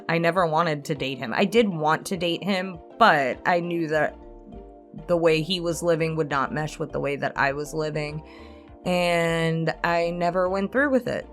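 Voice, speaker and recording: Gal in her 20s doing a chatty q&a, really unhurried, lowish voice, music playing behind. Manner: candid, rambling storytelling with small chuckles. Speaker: ASMR channel host answering subscriber questions. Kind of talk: casual, chatty Q&A. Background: instrumental music.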